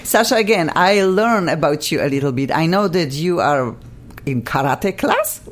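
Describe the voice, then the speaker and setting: A woman host with a Polish accent speaks in a lowish voice that swoops up and down with lots of expression. She heaps warm praise on her guests in a radio studio, close on the mic. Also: animated, normal speed. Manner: effusive, gushing praise. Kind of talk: interview chat.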